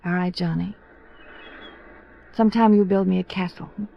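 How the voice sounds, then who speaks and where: A woman's voice: lowish voice, fairly even intonation, moderate pace, gravelly. An actress playing a candid, world-weary American society woman in a 1950s radio drama, speaking in a cool, languid way. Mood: flirtatious, languid. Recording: dull vintage radio recording.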